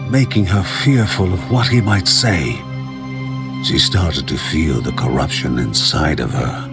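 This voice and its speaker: A man playing a solemn storyteller, narrating a fantasy game like a storybook legend in measured, scripted phrases. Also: very deep voice. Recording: music behind the voice. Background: music.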